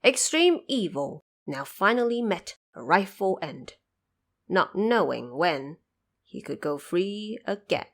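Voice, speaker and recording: Animated female storyteller with swooping intonation. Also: on the low side, slow pace. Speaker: storyteller, narrator. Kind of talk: scripted dramatic narration of a classic fantasy tale.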